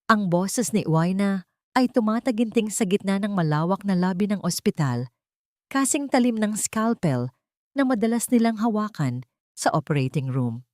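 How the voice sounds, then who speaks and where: A woman's voice: medium pitch, animated, moderate pace, dry recording, studio quality. A woman narrating a dramatic scripted story in a solemn, emotive way.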